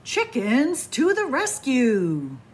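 Warm-up librarian type, middle-aged woman, bright higher voice, playful story reading in a library. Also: wildly swooping pitch, drawn-out words. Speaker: children's librarian. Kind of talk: picture book read-aloud. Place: library, in front of bookshelves. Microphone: camera at close range.

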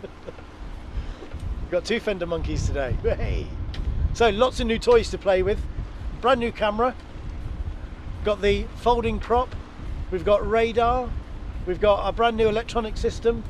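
A man's voice: sits high, measured pace, long pauses, a little hiss or hum. A middle-aged man hosting his sailing vlog, chatting to the camera and calling tacking commands in a boat cockpit. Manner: chatty, gives calm helm commands. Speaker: boat owner and channel host. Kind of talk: casual vlog narration and sailing instruction. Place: open sailboat cockpit on the water. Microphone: action camera on the boat.

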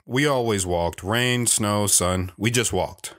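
A low male voice tells a first-person story for an audio drama. The actor plays a wandering man, wistful and a little bashful as he recalls his youth. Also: takes it slowly, a bit bright. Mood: reflective.

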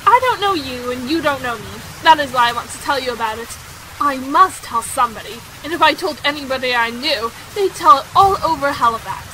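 A woman's voice: high voice, a little fast, a little hiss or hum, poor audio. A woman playing a talkative, candid, headstrong young society lady in a period audio drama, with emphatic, dramatic delivery. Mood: confiding. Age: young adult. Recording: steady rain sound effects behind.

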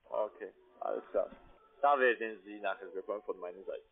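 A man's voice: fairly low pitch, a little fast. Non-native man on a tinny phone line. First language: not German. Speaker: call centre sales agent. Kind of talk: phone sales call in halting non-native German.

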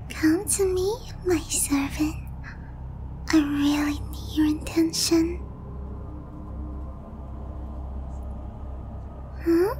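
A woman playing an obsessive young vampire princess in a slow, cooing, breathy half-whisper for an ASMR roleplay, sweetly menacing and possessive. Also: very high voice, mellow, a little hiss or hum, exaggerated voice. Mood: coaxing, hungry. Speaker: roleplay voice actress.

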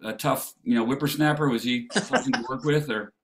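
Halting middle-aged man hosting a call. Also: mid-range voice, a bit bright. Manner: halting, encouraging, full of restarts. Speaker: host. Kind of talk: casual Q&A interview on a video call. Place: indoor room with bookshelves. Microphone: video call.

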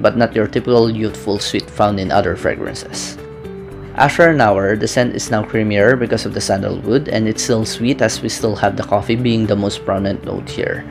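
A young man narrating a scripted fragrance review in a steady, methodical, descriptive way, recorded indoors on camera. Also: fairly low pitch. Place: small indoor room with brick-pattern wall. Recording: clear background music under voice. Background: background music.

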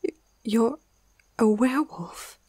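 Woman playing nervous caring young vet lady, asmr roleplay, slow breathy half whisper, hesitant, close mic. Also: fairly high pitch, dark tone, dry recording. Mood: scared, hesitant. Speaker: amateur ASMR roleplay performer.

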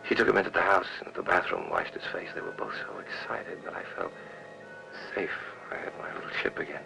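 A very low, heavily creaky American man, plays a paranoid, bedridden husband with cold menace. He speaks in a slow, brooding, deliberate way, in 1950s film noir style. Music is clearly heard behind him on a vintage soundtrack. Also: wildly swooping pitch, full character voice, rough recording. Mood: quiet, sinister. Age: 30s. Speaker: film actor. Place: studio bedroom set, indoors.

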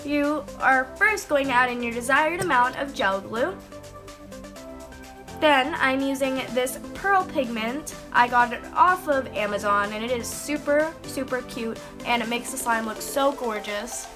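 A high, bright-voiced young woman recovering from a cold talks through a craft tutorial with bubbly enthusiasm. Music plays steadily beneath her voice-over. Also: lively intonation, unhurried. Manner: bubbly and enthusiastic, explaining step by step. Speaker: slime-making channel host. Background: background music.

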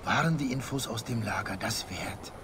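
An actor playing an intense, reproachful rebel leader in his thirties in a dramatic video game, forceful and accusing. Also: fairly low pitch, moderate pace, noisy background, lightly acted. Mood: bitter, accusing. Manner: forceful, accusing, swinging from hushed to loud. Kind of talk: dramatic video game acting in German dub.

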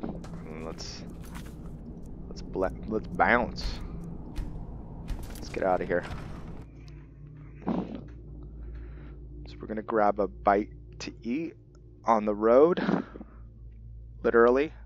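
A man's voice: fairly low pitch, extremely slow, pauses often, lo-fi sound, a little hiss or hum. A man giving casual video game commentary with some roleplay, rambling as he thinks aloud. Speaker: let's play host.

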